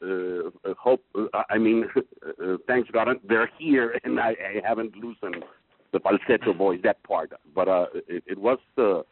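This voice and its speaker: A man with a baritone voice, a singing student talking in a halting way that restarts words often. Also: breathy edge. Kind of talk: casual chat in a lesson. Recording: tinny call line, faint hiss.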